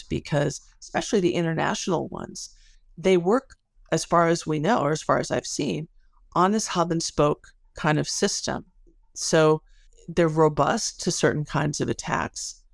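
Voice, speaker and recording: A woman's voice: sits low, vocal fry, no echo, studio quality. A woman speaking as an expert interview guest, explaining things in an articulate, analytical way. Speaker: interview guest, sociology researcher.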